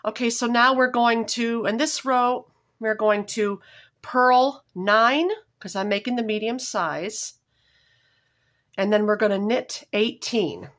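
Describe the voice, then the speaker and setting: Hoarse, homey woman teaching knitting. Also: a little high, a bit bright. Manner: homey, apologetic, often pausing and correcting herself. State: slightly hoarse from a cold. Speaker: knitting tutorial host. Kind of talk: casual step-by-step craft tutorial.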